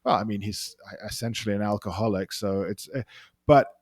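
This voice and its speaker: A man's voice: on the low side, brisk, no echo. Rambling London man, dry close sound. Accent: London English. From England. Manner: rambling, many restarts and fillers. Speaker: podcast guest, journalist. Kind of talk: casual interview chat.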